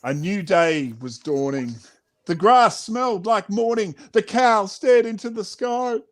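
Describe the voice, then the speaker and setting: A man's voice: high-pitched, expressive, unhurried. A middle-aged Australian man, a children's author, reading and chatting in a playful, theatrical way. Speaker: children's author reading his own book. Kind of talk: animated picture-book read-aloud and chat.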